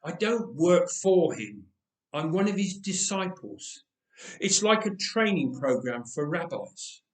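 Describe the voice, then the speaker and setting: A man's voice: a little high, big pitch swings, takes it slowly, distant mic. A man playing a weary uncle in a story, defensive and given to sighing and muttering. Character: weary, evasive uncle, reluctant disciple in debt. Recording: roomy, echoing space.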